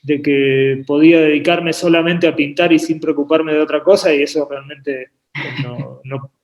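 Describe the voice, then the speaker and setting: A man from Uruguay raised in Argentina, talking about his work as a painter on a video call, often pausing and repeating words as he thinks. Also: fairly high pitch, fairly even intonation, muffled recording. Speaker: painter and artist guest. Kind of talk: relaxed interview conversation. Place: home painting studio. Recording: echoey room on a video call.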